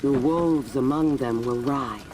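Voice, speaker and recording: An actress playing a calculating, ruthless warlord in a video game cutscene, speaking in a measured, commanding way. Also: female voice, rumbling low, dark tone, slight background noise, rough recording. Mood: calm, commanding. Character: cold, calculating female warlord, a ruthless ideologue.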